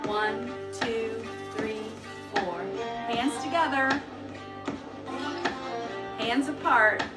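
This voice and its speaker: A woman leading storytime for preschoolers, speaking in an encouraging singsong with short, simple phrases. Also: high voice. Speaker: library storytime leader for preschoolers. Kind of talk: children's storytime reading and guided action song.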